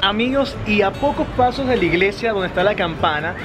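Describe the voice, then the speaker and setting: A man's voice: sits high, animated, brisk, over music. A young adult man from Venezuela with a Venezuelan accent, narrating emphatically and casually as a travel vlogger. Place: outdoor town plaza. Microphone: camera at arm's length. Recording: noisy outdoor street vlog.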